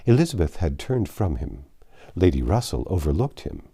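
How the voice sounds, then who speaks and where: A man's voice: low voice, sing-song, normal speed, warm tone, breathy edge. A man reading a classic novel aloud as an audiobook narrator, in a scripted storytelling style.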